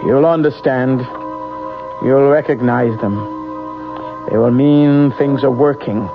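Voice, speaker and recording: Slow, cryptic fortune teller, muffled radio drama. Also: male voice, medium pitch, fairly even intonation. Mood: cryptic, businesslike. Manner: deliberate, cryptic, insistent. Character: secretive fortune teller, doting parent to sick Claude. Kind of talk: old-time radio drama acting. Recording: muffled vintage radio recording, music behind.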